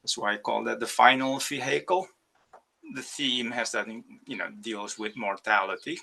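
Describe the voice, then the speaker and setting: A man's voice: medium pitch, steady tone, normal speed, vocal fry, pushed and bright. A man from Indonesia, an artist, giving a hesitant online talk about his work over a video call, with many fillers and restarts. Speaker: ceramic artist. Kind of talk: virtual artist talk presenting slides.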